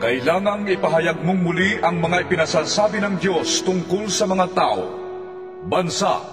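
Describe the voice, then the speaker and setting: High, commanding voice from heaven. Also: male voice, music in the background. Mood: solemn, commanding. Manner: measured, commanding. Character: heavenly voice giving solemn commands. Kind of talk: Bible audio drama acting.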